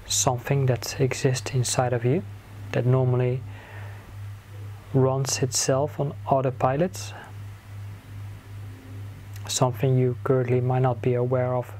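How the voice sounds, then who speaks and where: A man leading a guided meditation in a soothing manner, giving gentle instructions in short phrases with long pauses between them. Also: mid-range voice, poor audio. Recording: clear background noise, ambient sound.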